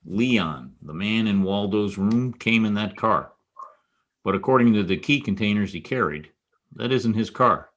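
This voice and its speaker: An actor playing a hard-boiled, wisecracking private detective in a 1940s-style radio drama, with a wry, clipped delivery. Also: male voice, fairly low pitch, lively intonation, unhurried. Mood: probing, wry.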